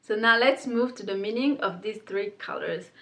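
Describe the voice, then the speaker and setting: A woman's voice: mid-range voice, moderate pace. Chatty woman talking to camera. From Congo. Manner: chatty and warm, many fillers like "like" and "um". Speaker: beauty brand owner presenting her palette. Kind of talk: casual explanatory talk to camera. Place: indoor studio with plain pink backdrop.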